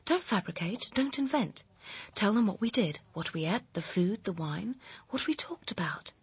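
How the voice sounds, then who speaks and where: An actress playing a cool, manipulative woman who insistently coaches her lover in a radio drama, with a husky, controlled voice. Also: mid-range voice, sing-song, moderate pace, no room sound, heavily acted. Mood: firm, insistent.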